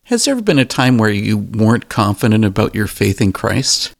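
A man's voice: fairly low pitch, big pitch swings, fairly quick, gravelly, dry recording, studio quality. A man hosting an interview, asking brief questions and giving encouraging replies. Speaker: interview host.

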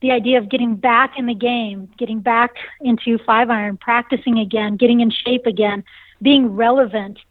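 Woman in her forties, nasal, slightly high voice, bubbly, laughs a lot, dry tinny phone line. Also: fairly even intonation, normal speed, dry recording. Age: 40s. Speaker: singer and saxophonist. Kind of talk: casual interview answers.

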